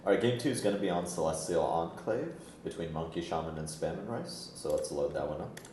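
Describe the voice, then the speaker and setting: A man's voice: sits low, animated, a little fast, warm tone, a little hiss or hum. A man hosting and commentating an esports match in a casual, laid-back, chatty way. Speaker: esports tournament host and commentator. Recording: roomy echo, music behind. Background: background music.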